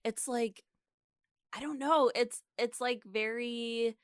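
A woman co-hosting a podcast, chatting informally and reacting in an expressive, emphatic way. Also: a little high, takes it slowly, no echo, clean studio sound. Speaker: podcast co-host. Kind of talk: casual reactive chat.

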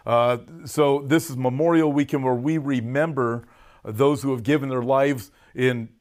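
A man's voice: mid-range voice, steady tone, unhurried, darkish tone. An American man speaking as a pastor to an online audience in an informal, folksy sermon style, recovering from a cough with a lozenge in his mouth. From United States. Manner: folksy, direct address with emphatic stresses. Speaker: pastor hosting a prophecy update.

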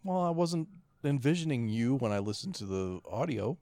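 A man's voice: medium pitch, very animated, moderate pace, warm tone, no echo, clean studio sound. A man co-hosting a podcast in casual, sweary conversation. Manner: profane. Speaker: podcast co-host. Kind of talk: casual chat.

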